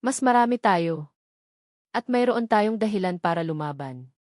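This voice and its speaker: A woman's voice: mid-range voice, lively intonation, moderate pace, darkish tone, no room sound, studio quality, close to an everyday voice. A woman voicing a determined, wounded policewoman and mother in a romantic audio drama, with earnest delivery. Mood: resolute.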